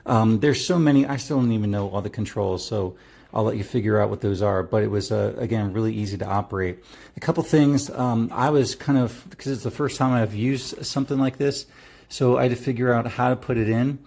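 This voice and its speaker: A man's voice: mid-range voice, fairly quick, creaky, darkish tone. A middle-aged man giving a casual product review in an easygoing, rambling way.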